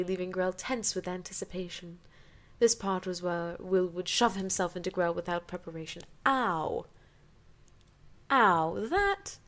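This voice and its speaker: A woman doing an amateur dramatic reading of fanfiction, theatrical, with incredulous, sarcastic asides. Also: mid-range voice.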